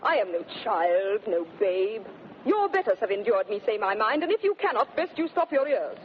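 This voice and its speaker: An actress playing a proud, sharp-tongued wife in 1930s radio Shakespeare, theatrical and emphatic, with a British accent. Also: female voice, mid-range voice, sing-song, normal speed, lightly acted. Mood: defiant. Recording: muffled, noisy vintage 1930s radio broadcast.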